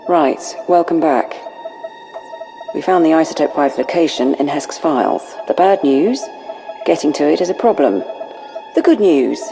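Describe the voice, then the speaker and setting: A woman playing a pragmatic, sardonic imperial agent, speaking briskly in a video game cutscene. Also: low voice, lively intonation, creaky, over music, full character voice. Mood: wry, brisk. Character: wry, pragmatic, cold-blooded female imperial agent.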